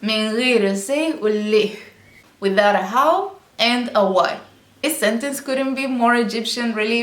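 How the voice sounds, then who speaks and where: A woman's voice: medium pitch, roomy sound. A woman teaching Arabic by explaining song lyrics line by line, patient and explanatory, often checking in with "okay?".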